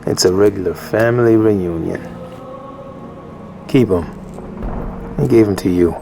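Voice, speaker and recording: A man playing a gruff, sardonic veteran soldier with a dry delivery in a video game cutscene. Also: on the low side, measured pace, music in the background. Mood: dry, guarded.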